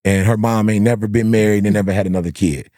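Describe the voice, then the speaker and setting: A man telling a story in an animated, casual way, slangy and emphatic, often punctuating with bruh and bro. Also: fairly low pitch, fast talker, no echo, studio quality. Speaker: podcast host.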